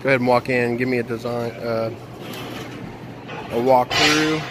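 A man's voice: mid-range voice, steady tone, normal speed, very noisy. Casual man touring trailers at a show. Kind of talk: casual walkthrough chat. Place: indoor convention hall RV show.

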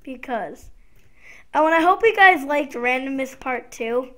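A child or young teen hosting a casual gaming video with live gameplay commentary, sometimes slightly raspy. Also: high-pitched, animated, unhurried, pushed and bright. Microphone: gaming headset.

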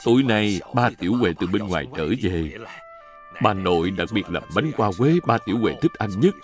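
A man voicing an elderly grandfather in a dubbed cartoon, patient, measured and gently admonishing. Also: fairly low pitch, animated, faint music behind, natural voice. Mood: explaining.

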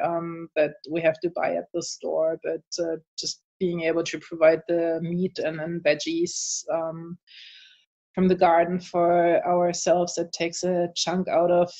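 A woman's voice: on the low side, a little mellow, dull audio. A woman from Germany with a German accent, chatting as a guest in an interview, thoughtful with frequent fillers and laughs. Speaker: author and translator guest. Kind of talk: casual interview chat.

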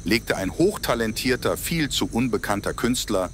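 A man's voice: fairly low pitch, animated, moderate pace, fairly bright tone, a little hiss or hum. A man reading scripted narration for an audio drama in a storytelling manner. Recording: heavy ambient sound effects under voice.

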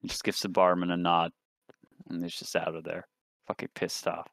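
A man playing a tabletop roleplaying game, talking casually with gruff, sweary banter. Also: low voice, completely flat delivery, normal speed, a bit bright, no room sound.

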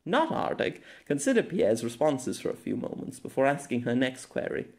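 A man's voice: mid-range voice, very animated, moderate pace. A man narrating a scripted novella as an audiobook. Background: music.

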